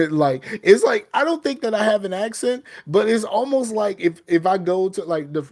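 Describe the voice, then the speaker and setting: A man's voice: high voice, animated, moderate pace, darkish tone. A middle-aged man from the American South with a Southern accent, chatting casually as a podcast co-host and rambling with lots of "you know what I mean". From Southern United States. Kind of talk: casual chat.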